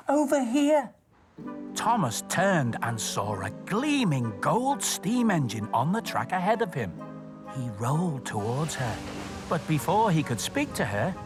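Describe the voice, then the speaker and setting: Animated male children's storyteller over music. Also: fairly high pitch. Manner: expressive, addressing young listeners directly. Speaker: storytelling host of a children's show, station worker persona.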